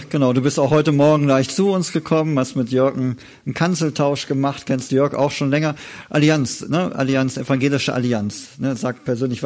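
Male church service host, medium pitch, informal interviewer, conversational stop-and-start delivery with frequent tag questions. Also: darkish tone. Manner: halting, conversational, often adds tag questions.

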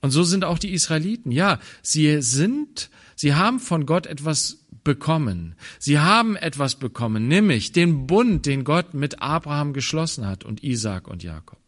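A man from Germany giving a thoughtful sermon, pausing and restarting, sometimes dropping into quiet low asides. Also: big pitch swings. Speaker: preacher.

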